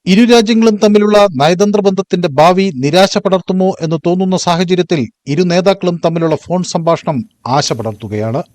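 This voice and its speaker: A man's voice: high-pitched, moderate pace, darkish tone, no echo. A man reading a scripted news report as a reporter.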